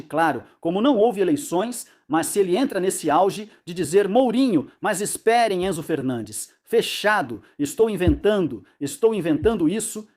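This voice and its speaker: A man's voice: high-pitched, darkish tone. A man giving confident, emphatic predictions as a football pundit in a casual debate chat.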